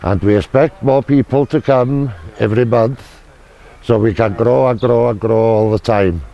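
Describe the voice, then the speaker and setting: A man speaking haltingly in short phrases in an unscripted interview as a club organiser. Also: mid-range voice, gravelly, warm tone, dull audio.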